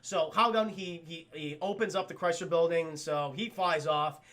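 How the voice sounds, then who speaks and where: A man's voice: on the high side, steady tone, normal speed, a bit bright. A man streaming a casual, profane scene-by-scene cartoon recap, stammering and addressing his viewers.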